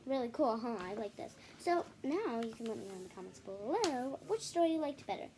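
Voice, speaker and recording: High-pitched female storyteller, noisy recording. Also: very animated, a little mellow. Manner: halting, self-correcting, apologetic while showing pages. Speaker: children's read-aloud video host. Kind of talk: picture book read-aloud with casual asides to viewers.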